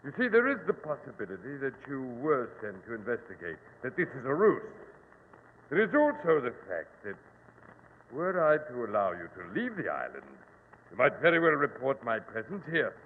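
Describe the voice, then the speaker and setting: Theatrical, reverberant radio villain. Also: male voice, medium pitch, huge pitch swings, normal speed. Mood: suspicious, formal. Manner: formal, ominous, theatrical. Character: menacing armed villain, formal, coldly commanding. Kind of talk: old-time radio drama acting. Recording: old lo-fi radio, hiss, long reverb.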